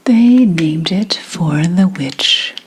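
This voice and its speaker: A woman playing an indignant, bitter 17th-century witch and healer in a whispered ASMR roleplay, deliberate and scornful. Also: lowish voice, expressive, slight background noise, low-quality recording. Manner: slow, deliberate, scornful whispering with pauses and sighs.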